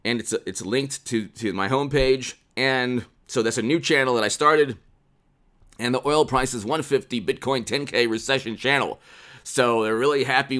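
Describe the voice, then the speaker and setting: Man, American accent, forties, middle pitch, political commentary host, off-the-cuff, emphatic repetition, desk microphone. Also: fairly bright tone. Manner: emphatic, repeating phrases for stress. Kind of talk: unscripted political commentary to camera. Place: small indoor room with poster-covered walls. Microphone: desk condenser microphone, close.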